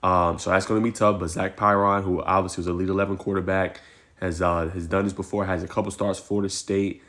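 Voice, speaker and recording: A man, giving energetic, conversational college football picks as a sports content creator. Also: deep voice, fast. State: hoarse.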